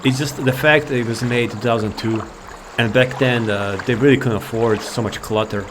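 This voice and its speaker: A man's voice: mid-range voice, fairly even intonation, normal speed, noisy background. A man giving casual, rambling gameplay commentary, laid-back and thinking aloud. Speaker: gaming video creator.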